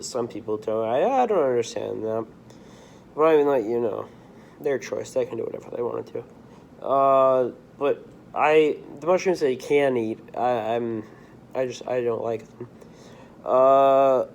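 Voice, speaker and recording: Teen boy, mid-pitched, a bit hoarse with a cold, easygoing rambling vlog delivery. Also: a little hiss or hum. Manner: rambling, drifting between topics, frequent restarts. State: slightly hoarse with the sniffles. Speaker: video creator. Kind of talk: casual rambling monologue.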